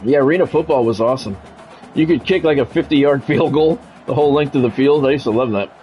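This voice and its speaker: A man's voice: medium pitch, fast talker, highs cut off, faint music behind. A middle-aged American man streaming a sports video game, mixing casual chat with punchy announcer-style play-by-play. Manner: conversational, switching into punchy announcer-style calls.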